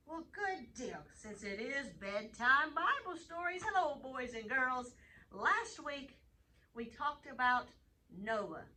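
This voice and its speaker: A woman hosting a children's Bible story time, animated as she reads and chats directly to young listeners. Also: sits high, takes it slowly. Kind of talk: reading bedtime Bible stories to children, chatting with a puppet. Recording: distant mic, faint background noise.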